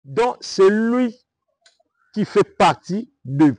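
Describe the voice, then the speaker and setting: A man's voice: high voice, animated, drawn-out words, darkish tone, no echo. A man with a Congolese French accent from Kinshasa, a self-styled prophet answering interview questions in a preaching manner, emphatic and repetitive, often asking "vous comprenez?".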